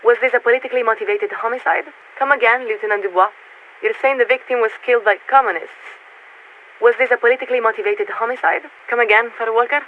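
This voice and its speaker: An actress playing a formal, detached military warship radio officer in a video game, speaking in clipped official procedure through a radio filter. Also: female voice, a little high, steady tone, fast talker. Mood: formal, probing. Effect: radio transmission filter. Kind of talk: scripted video game voice acting. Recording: narrow, tinny radio link with static.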